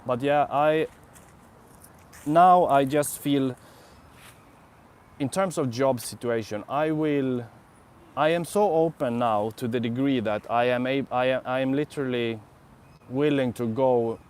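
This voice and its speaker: A man's voice: mid-range voice, slow pace, long pauses, a little mellow, clear, resonant tone. A young Swedish man with a Swedish accent, chatting casually on a live stream in a city park, musing and answering viewers' comments. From Sweden. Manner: rambling, reflective, answers chat comments. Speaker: vlogger.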